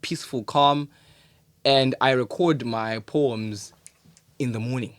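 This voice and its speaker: A man talks casually as a podcast guest, reflective and halting, often doubling back on his words. His voice sits in the middle range. The recording is close and dry, with no room sound. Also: medium pitch, fairly bright tone, no room sound. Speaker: podcast guest, poet and creative.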